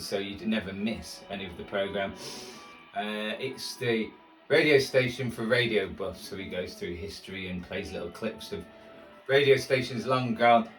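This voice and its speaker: A low-pitched man talks casually and a little self-deprecatingly as he tunes a radio for a hobby video. He rambles along in a roomy kitchen, some way from the microphone, with music clearly audible behind him. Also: a bit bright, distant mic. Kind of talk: casual chatty commentary while tuning a radio. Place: indoors in a kitchen. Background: music playing from a shortwave radio.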